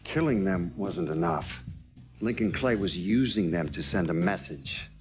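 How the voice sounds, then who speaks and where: A man's voice: low-pitched, lively intonation, measured pace. An actor playing a grave American lawman giving emphatic testimony in a documentary-style game scene. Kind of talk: documentary interview testimony, acted. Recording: slightly dull lo-fi sound. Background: prominent music.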